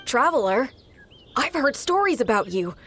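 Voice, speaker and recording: A woman voicing an adult informant in a video game, speaking in a measured, businesslike way. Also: high-pitched, sing-song, fairly bright tone, husky, soft background music, heavily acted.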